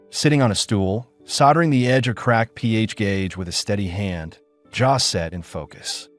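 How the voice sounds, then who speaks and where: Male audiobook narrator, lively pitch, faint music underneath. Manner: measured storytelling. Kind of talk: scripted narration.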